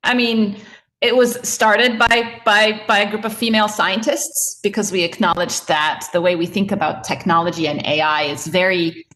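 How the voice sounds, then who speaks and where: A woman's voice: mid-range voice, fairly even intonation, moderate pace, fairly bright tone, poor audio. A woman from Egypt, a tech entrepreneur answering panel questions over a video call in an earnest, explanatory way.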